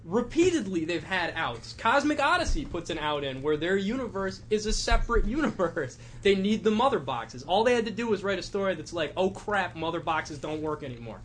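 A man's voice: high-pitched, sing-song, brisk, few pauses, a bit bright, a little hiss or hum. A man on a podcast panel talking casually about comics in an opinionated, wry way.

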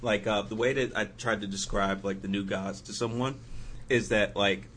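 A man's voice: fairly low pitch, steady tone, moderate pace, fairly bright tone, a little hiss or hum. A man on a podcast panel chatting casually and telling anecdotes.

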